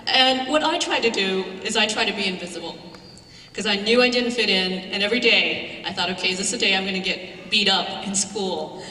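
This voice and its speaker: A woman's voice: medium pitch, fairly quick, pushed and bright, a little hiss or hum. A woman professor giving a symposium talk, telling a personal story in a conversational way with some fillers. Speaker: guest speaker, professor. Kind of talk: symposium lecture. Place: large lecture hall. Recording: echoey hall, far from mic.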